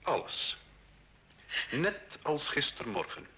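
An imperious bishop in an old radio drama. He speaks slowly and suavely, with wide swings of pitch, on a dull recording. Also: sing-song, bright, forceful tone, full character voice. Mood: stern, impatient. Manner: measured, suave, commanding. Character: cold, imperious scheming medieval bishop. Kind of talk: Dutch-language radio play acting.

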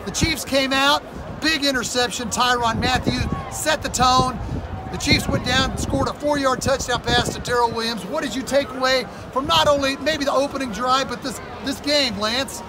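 A man's voice: very high-pitched, normal speed, music in the background, poor audio. A man hosting a postgame fan interview outdoors in a stadium at night, with a hyped announcer-style delivery. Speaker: host. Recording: loud outdoor stadium noise.

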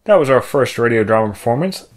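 A man's voice: mid-range voice, animated, fast talker, soft background music. A man co-hosting a podcast in casual, bantering talk. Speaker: podcast co-host.

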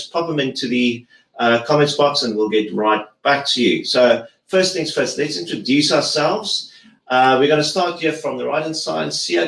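A man in his thirties hosting a relaxed group conversation in an office meeting room, stopping and restarting often. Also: medium pitch, creaky, reverberant, poor audio. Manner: relaxed, halting, many restarts. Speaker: host.

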